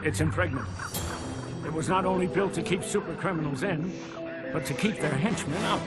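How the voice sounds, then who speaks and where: An earnest informant in a video game, played by a man, with a slightly high male voice. He talks in a measured, serious, concerned way, with music playing behind him. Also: natural voice. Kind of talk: video game dialogue acting.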